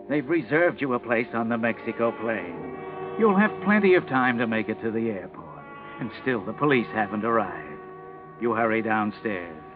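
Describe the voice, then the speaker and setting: An actor playing a taunting, urgent narrator in a 1940s radio crime mystery. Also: male voice, medium pitch, close to an everyday voice. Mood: taunting suspense. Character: ominous, taunting male narrator of a crime tale. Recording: tinny 1940s radio recording, music behind.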